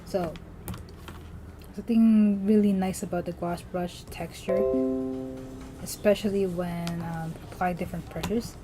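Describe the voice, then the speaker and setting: A woman's voice: lowish voice, fairly even intonation, unhurried, pauses often, over loud music. A young woman from the Philippines chatting casually as she draws on a livestream, rambling with lots of fillers and restarts. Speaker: artist streaming while drawing.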